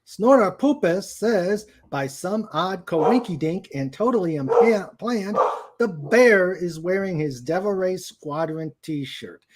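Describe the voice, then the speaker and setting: A man hosting a casual livestream chat, speaking haltingly as he searches for his words. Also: high voice, lively intonation, noisy background. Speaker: host. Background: dog noises and clicks.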